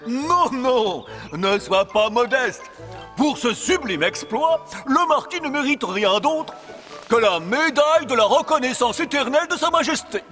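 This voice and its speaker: Roi jovial à la voix suraiguë. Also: male voice, extremely high pitch, a little slow, heavily acted. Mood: pompous, jovial. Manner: pompous, excitable. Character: jovial, doting, stingy old fairy-tale king. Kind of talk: French cartoon dubbing. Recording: long hall reverb, faint music.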